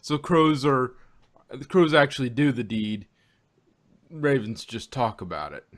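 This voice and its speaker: A man with a medium-pitched voice co-hosts a casual review discussion. His delivery is wry and halting, and his thoughts often trail off as he rephrases. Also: expressive. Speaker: podcast co-host reviewing audio dramas.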